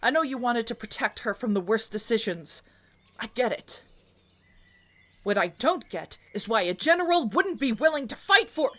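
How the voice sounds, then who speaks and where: Woman acting a quietly frank, loyal attendant. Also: on the high side, animated, normal speed. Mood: disappointed. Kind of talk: scripted fantasy audio roleplay.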